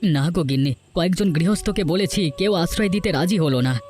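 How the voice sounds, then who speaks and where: Cartoon folk-tale role: male actor as proud, penniless village family man, fairly high voice, earnest declamation, music underneath. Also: fairly quick, darkish tone, natural voice. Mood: caring. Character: proud, poor homeless village husband and father. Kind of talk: Bengali cartoon folk-tale dialogue acting.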